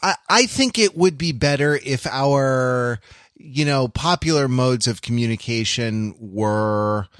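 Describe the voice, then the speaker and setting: A man talks as a podcast co-host in a fairly ordinary mid-range voice, recorded dry and close. He works through his ideas in a halting, thoughtful ramble, keeping the tone conversational. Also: mid-range voice, a bit bright, no echo. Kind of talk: casual discussion of films.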